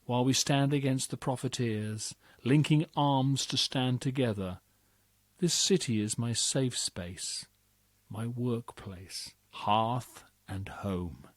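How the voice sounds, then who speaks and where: Male poetry reader, unhurried, measured, dry close-miked read. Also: medium pitch, no echo. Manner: measured, deliberate delivery. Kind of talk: slow dramatic poetry reading.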